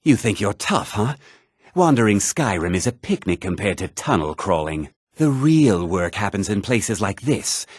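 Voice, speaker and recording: An actor playing a proud, gruff miner and former soldier in a video game. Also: male voice, fairly low pitch, very animated, moderate pace, no room sound, studio quality. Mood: scornful. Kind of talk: video game character lines.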